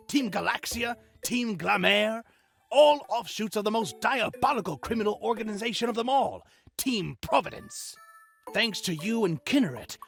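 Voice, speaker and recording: A cheerful creature-research professor from a video game, played by an actor, effusive and theatrical. The delivery swings widely in pitch, explaining things dramatically to a young trainer. Game music plays underneath. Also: gravelly, a bit bright. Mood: dramatic. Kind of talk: scripted visual novel character acting.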